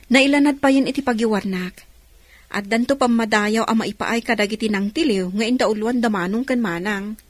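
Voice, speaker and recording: An actress playing a helpful, reassuring younger niece in an Ilocano radio drama. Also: female voice, fairly high pitch, normal speed, dry recording, close to an everyday voice. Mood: informative.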